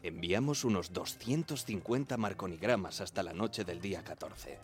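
An actor playing an earnest young radio operator of the 1910s, narrating in the first person in a measured, plain way. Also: male voice, low-pitched, lightly acted. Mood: measured, reminiscing. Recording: music behind the voice.

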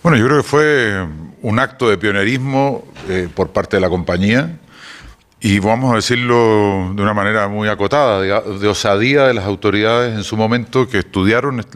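Low-voiced Chilean man explaining. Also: fairly quick, a bit bright. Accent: Chilean with Portuguese touches. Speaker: energy company executive guest. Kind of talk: radio interview answers.

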